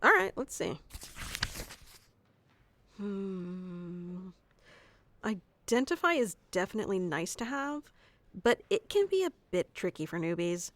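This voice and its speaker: Woman acting a bossy, slow-talking tsundere wizard mentor. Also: medium pitch, sing-song, a bit bright. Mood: casual, explaining. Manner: bossy teasing, stammering when flustered. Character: haughty, flustered tsundere young female wizard mentor. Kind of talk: ASMR roleplay acting addressed to the listener.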